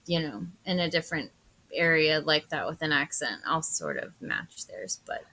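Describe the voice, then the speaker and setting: A woman's voice: sits low, sing-song, normal speed, sharp tone. A woman chatting casually in a friendly, agreeable way as co-host of a talk show. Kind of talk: casual chat.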